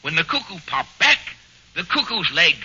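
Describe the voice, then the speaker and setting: A man's voice: sits high, big pitch swings, moderate pace, bright, forceful tone, breathy edge, full character voice. A man playing a pompous, clipped-speaking detective with affected upper-class English manners in a 1940s radio comedy. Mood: deducing. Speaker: comedy lead. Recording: muffled 1940s radio broadcast.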